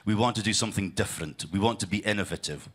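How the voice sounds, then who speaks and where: A man's voice: fairly low pitch, quick pace. A middle-aged man from the UK, giving a conference presentation in a loose style with many fillers and restarts. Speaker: conference speaker, sales leader.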